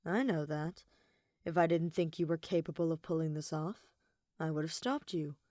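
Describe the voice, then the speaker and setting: Composed teenage boy schemer, read by a woman, lowish voice, plain measured delivery, guarded, story audio. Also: mellow, no echo, studio quality, close to an everyday voice. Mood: cool, wry.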